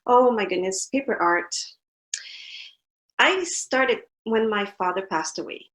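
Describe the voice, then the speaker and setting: A woman's voice: medium pitch, measured pace. French-Canadian woman, chatty guest. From Montreal, Canada. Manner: chatty, warm storytelling with fillers. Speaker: guest artist. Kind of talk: casual interview chat.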